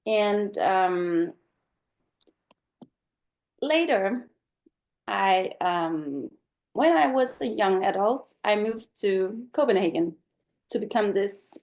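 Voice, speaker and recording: A woman's voice: mid-range voice, expressive, slow, long pauses, a little mellow. A middle-aged woman from Denmark giving a presentation over a video call, speaking thoughtfully with many fillers. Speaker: guest speaker. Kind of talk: online presentation talk.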